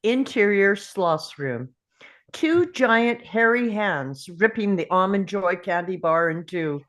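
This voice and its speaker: A woman's voice: medium pitch, lively intonation, slow pace, fairly bright tone. A woman reading screenplay stage directions as scripted narration at a table read.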